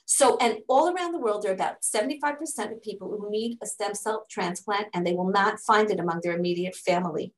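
A woman's voice: medium pitch, quick pace, few pauses, echoey room, low-quality recording. A middle-aged woman, a native English speaker living in Israel, explaining her charity's work in an interview with earnest, step-by-step answers and frequent restarts. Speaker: charity fundraising director.

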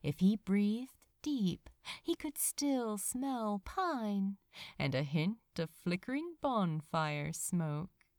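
A woman reads scripted fiction aloud like an audiobook. Her delivery is unhurried and measured, with widely varied, expressive intonation. The recording is dry and close, at a medium pitch. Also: dry recording. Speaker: fan fiction audiobook reader. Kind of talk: scripted story narration.

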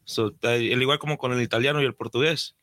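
A man's voice: mid-range voice, steady tone, fast talker, pushed and bright, no room sound. A man chatting informally on a podcast as one of the hosts. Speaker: podcast co-host. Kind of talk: casual chat.

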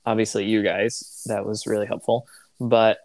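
A man's voice: fairly low pitch, little pitch movement, normal speed, dry recording. A young man who grew up in Tanzania, hosting a casual podcast chat, rambling and acting out little conversations. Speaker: podcast host.

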